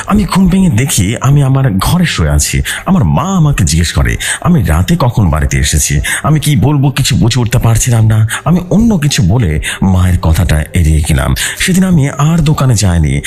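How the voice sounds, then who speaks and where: Man, horror story narrator, lowish pitch, very animated intonation. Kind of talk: scripted first-person ghost story narration.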